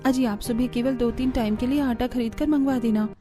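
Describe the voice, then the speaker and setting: A woman plays a young village daughter-in-law in a family cartoon. Her voice is very high and soft-edged, polite, earnest and dutiful, over faint music. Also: steady tone, a little fast, lightly acted. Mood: polite, soothing. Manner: deferential, earnest, pleading. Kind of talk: Hindi family cartoon voice acting.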